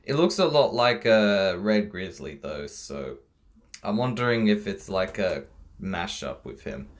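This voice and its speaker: A man's voice: fairly low pitch, big pitch swings, measured pace. A man hosting a reaction video, talking casually in a hesitant, rambling way about what he watches.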